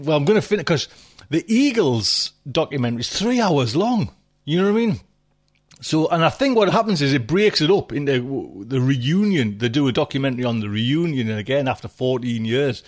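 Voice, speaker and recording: A man hosting a podcast from home, chatting casually and rambling with many asides and fillers. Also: on the high side. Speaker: podcast host.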